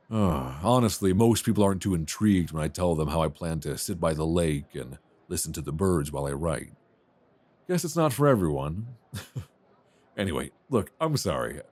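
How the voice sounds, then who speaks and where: A man's voice: deep voice, a little mellow, no echo. A man playing a chatty, easily flustered, flirtatious airline passenger in a romance roleplay audio, rambling and hesitating in a self-deprecating way. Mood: friendly, teasing. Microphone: close binaural microphone.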